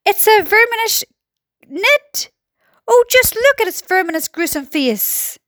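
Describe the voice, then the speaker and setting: A woman voicing a loud, excitable, alarmed male police chief in a storybook, shouting drawn-out exclamations. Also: high-pitched, no room sound, studio quality, voice pushed up, exaggerated voice. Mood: panicked.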